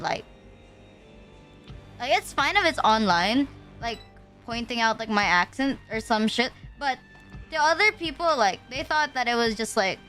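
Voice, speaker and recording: A woman's voice: high voice, sharp tone. A young woman from the Philippines chatting casually on a livestream, rambling with lots of fillers and slang. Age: young adult. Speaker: streamer.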